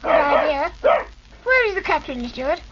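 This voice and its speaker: A woman in a vintage radio play voicing Johnny, an eager, brave young boy who chatters excitedly. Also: high-pitched, moderate pace. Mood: delighted. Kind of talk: 1940s radio acting. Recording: dull noisy old radio recording.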